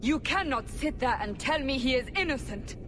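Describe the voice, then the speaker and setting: A woman playing a stern, zealous interrogator in a video game, accusing and questioning forcefully. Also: sits high, lively intonation, normal speed, background noise. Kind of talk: video game cutscene acting.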